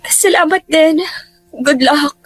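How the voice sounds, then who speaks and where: A woman's voice: high voice, slow. A woman acting an older girlfriend, lovelorn and gracious, in a radio drama. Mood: hurt, resigned. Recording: music bed under voice.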